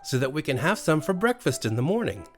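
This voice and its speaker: A man voicing a small, excitable, sly creature in a storybook, fussy and exclamatory, repeating himself. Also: fairly high pitch, fast, soft background music, voice pushed up. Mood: eager, helpful. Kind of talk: storybook character voice.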